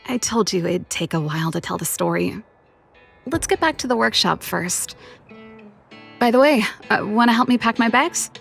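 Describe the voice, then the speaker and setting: A woman voicing a playful, teasing young big-sister inventor and musician in a video game, casual and expressive. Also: on the high side, a little slow, gravelly, pauses often, slightly rough, soft background music, full character voice. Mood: playful, coaxing.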